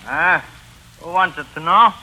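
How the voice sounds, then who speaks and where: A man's voice: on the high side, slow pace, bright, forceful tone, heavily acted, rough recording. A man playing a fat, nervous, shifty club owner in 1940s radio style, stammering and evasive. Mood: guarded. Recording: hissy old 1940s radio recording.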